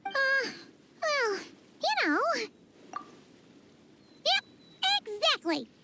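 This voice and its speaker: A woman voicing a small, childlike, excitable fairy companion with a squeaky, chirpy voice in a video game dub. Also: drawn-out words, slight background noise, exaggerated voice. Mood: reassuring. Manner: exclamatory, refers to self in third person.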